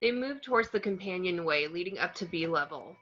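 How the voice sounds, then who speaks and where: A woman's voice: fairly low pitch, expressive, brisk. A woman reading out screenplay scene descriptions as the narrator of a script table read. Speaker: reader of the action description. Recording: dull, lo-fi sound.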